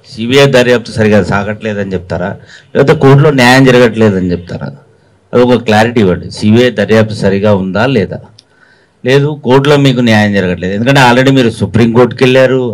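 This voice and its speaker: Male reporter, press conference questioner. Average pitch, quick pace, pressing for clarity. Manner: pressing for a clear answer.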